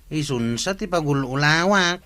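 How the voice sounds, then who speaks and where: A man's voice: fairly high pitch, expressive, moderate pace, bright, forceful tone. A man playing a lazy, stubborn, cheeky tricycle driver in a comic radio drama, complaining curtly with an Ilocano Filipino accent. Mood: grumbling. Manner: complaining, curt.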